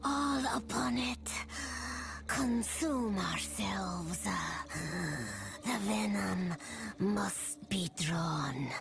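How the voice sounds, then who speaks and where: A woman voicing an eerie, grave fae forest spirit in a fantasy game, speaking in breathy whispers and solemn, halting phrases. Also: medium pitch. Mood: ominous, solemn.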